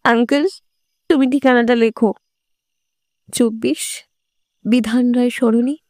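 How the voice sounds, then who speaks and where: A desperate young woman in love, played by an actress speaks urgently and pleadingly in an audio story. Her voice is very high, recorded dry without room sound. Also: steady tone, slow, a little mellow, no room sound. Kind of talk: audio story character acting.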